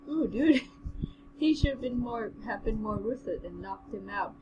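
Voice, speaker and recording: A woman's voice: a little high, lively intonation, moderate pace, noisy background. A woman co-hosting a novel read-along, reading aloud and commenting in an informal, playful way. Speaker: co-host and reader.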